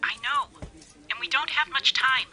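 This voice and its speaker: A woman's voice: high voice, moderate pace, bright, forceful tone, exaggerated voice. A woman acting as a serious, urgent investigator in a contemporary radio drama. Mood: worried, urgent. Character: urgent woman investigator reporting bad news by phone.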